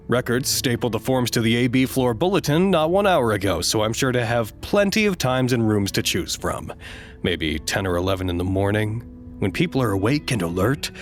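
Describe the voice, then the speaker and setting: A man's voice: fairly low pitch, lightly acted. A man acting in an audio drama as a pompous, needy staff lecturer who talks in a wordy, theatrical way.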